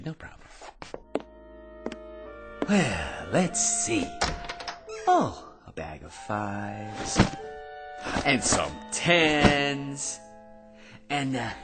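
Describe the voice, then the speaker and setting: Radio drama casting: hapless, nervously chattering everyman, very animated range, unhurried delivery, over prominent music. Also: medium pitch, heavily acted. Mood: smug, casual. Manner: rambling, stammering, nervously chattering. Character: hapless ordinary man, cocky then frantic and pleading.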